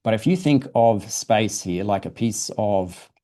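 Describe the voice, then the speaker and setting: A man with a fairly low, very mellow voice reads a science storybook to children. He explains things simply, in the manner of a museum presenter at storytime. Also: animated, unhurried. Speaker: guest presenter from a dinosaur museum. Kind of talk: reading a children's science book aloud.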